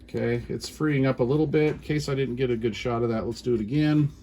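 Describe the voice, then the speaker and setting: A middle-aged or older American man giving an informal hands-on how-to explanation in an unhurried, halting, folksy manner. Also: mid-range voice, mellow. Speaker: repair tutorial host. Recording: clear background noise.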